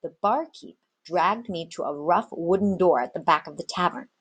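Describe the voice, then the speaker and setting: A woman's voice: lowish voice, lively intonation, normal speed, fairly bright tone, breathy edge. A woman narrating an adventure story expressively, like a teacher reading aloud to students.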